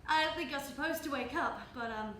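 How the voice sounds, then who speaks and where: A young woman acting in a live stage play as a gentle, lost woman with no memory, speaking hesitantly and repeating herself. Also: high-pitched. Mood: dazed, searching. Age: young adult. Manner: hesitant, halting, repeats phrases. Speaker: student stage actor. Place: indoor theatre stage, live performance. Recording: roomy live stage recording, background noise.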